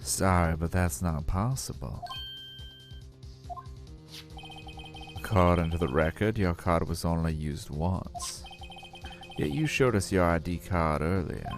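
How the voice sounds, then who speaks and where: A man voicing an earnest young male defense lawyer in a fan dub of a game, speaking in a firm, deliberate courtroom manner. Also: low-pitched, animated, over music. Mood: accusing.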